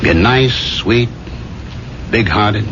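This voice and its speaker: An actor playing a slick, patronizing small-business boss in a 1970s radio drama, glib and emphatic. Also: male voice, lowish voice, drawn-out words. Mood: blunt, patronizing. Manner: glib, emphatic, repeats himself. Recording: muffled, noisy 1970s radio broadcast recording.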